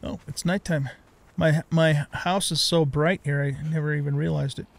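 Higher-pitched man thinking aloud over a game. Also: steady tone, normal speed, vocal fry. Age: middle-aged. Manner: talks to himself, thinking aloud. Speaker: let's play host. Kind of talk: casual gaming commentary.

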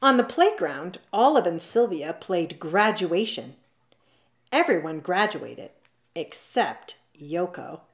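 A woman reading a children's picture book aloud at home, in an expressive, drawn-out storybook manner. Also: lowish voice. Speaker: storytime reader. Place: indoors at home, seated on a leather couch.

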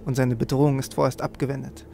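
A man with a creaky voice hosting a let's play, commenting on the game and reading quest text aloud. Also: medium pitch, little pitch movement, fast, warm tone, a little hiss or hum. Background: game music.

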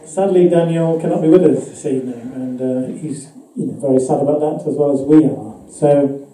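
A man's voice: fairly high pitch, normal speed, dark tone. A middle-aged man giving a stage introduction to a live audience as the event's host. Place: indoor event room, on small stage. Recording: distant, muffled, echoey room with noise.

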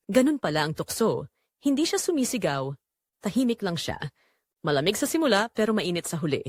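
Woman acting as married mom narrating an audio drama, longing n guilty, pitch moves around a lot, dry recording. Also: no room sound. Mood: tense, yearning. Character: Marina, married mother, longing, guilty, secretly tempted. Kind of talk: first-person scripted audio drama narration.

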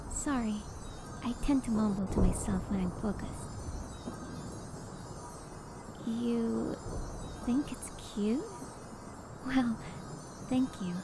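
A woman voicing a gentle, affectionate young pony princess in a soft, intimate, murmuring ASMR roleplay. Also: a little high, takes it slowly, long pauses. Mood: bashful, sweet. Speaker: voice actor in a fan roleplay. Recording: loud steady rain-like ambient noise. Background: rain.

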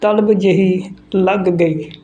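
A narrator reading a folk tale aloud in a halting way, phrase by phrase. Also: mid-range voice, steady tone, warm tone. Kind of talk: slow read-aloud storytelling narration. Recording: some background noise.

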